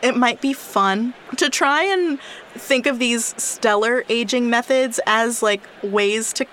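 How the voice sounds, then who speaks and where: A woman's voice: fairly high pitch, slow pace, a bit bright, a little hiss or hum. Chatty young woman explaining astronomy. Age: 20s. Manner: chatty, playful, explains with lots of fillers. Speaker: podcast co-host. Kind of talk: casual science podcast chat.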